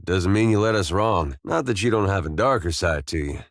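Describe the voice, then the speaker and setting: A deep male voice, recorded very dry, a farmer turned adventurer in a video game. He is gentle and loyal, with some weariness of the world in him. He talks plainly and folksily, understating things with hesitant, dry humor. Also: lively intonation, a little fast, no room sound. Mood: wry, sincere. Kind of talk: video game companion dialogue.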